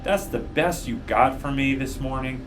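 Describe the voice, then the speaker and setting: A man's voice: mid-range voice, normal speed, pitched above the performer's own voice, natural voice. A man voicing a proud, cranky female crow in a storybook, blunt, teasing and full of wisecracks. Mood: sassy, teasing. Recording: music behind the voice.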